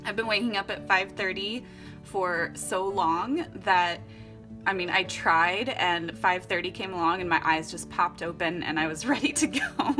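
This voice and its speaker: A woman's voice: lowish voice, unhurried, music in the background. A young woman chatting casually and upbeat as she walks through her journal setup at home, laughing often. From Florida and Costa Rica. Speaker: vlogger. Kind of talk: casual vlog narration while journaling.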